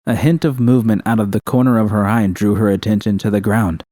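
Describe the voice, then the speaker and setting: Low, mellow man narrating a story. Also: steady tone, quick pace, dry recording, clean studio sound. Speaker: narrator of a fan fiction audiobook. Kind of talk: scripted narration.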